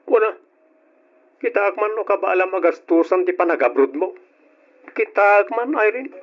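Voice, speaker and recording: A stubborn husband acted by a man in an old radio serial, with a Filipino accent and a somewhat high male voice. He is scornful and argumentative, and the sound is dull and lo-fi. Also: expressive, moderate pace. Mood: scornful, irritated. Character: scornful, stubborn husband arguing about money. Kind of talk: old-fashioned Ilocano radio drama acting.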